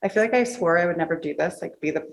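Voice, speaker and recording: A woman's voice: on the low side, quick pace, creaky. An American woman author from the Pacific Northwest answering audience questions casually and candidly, with informal, slangy phrasing. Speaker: author at a book reading.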